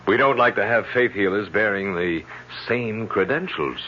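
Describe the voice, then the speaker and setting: A man's voice: on the low side, moderate pace, natural voice. An actor in an old radio drama playing a patient, reasonable, mildly condescending male doctor. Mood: reasoning, patient. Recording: dull old radio recording with hiss.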